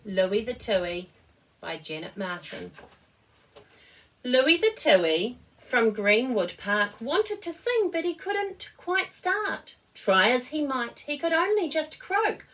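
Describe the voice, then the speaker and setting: A woman reading a children's picture book aloud as an expressive storyteller. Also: high-pitched, far from the mic, poor audio. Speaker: story reader.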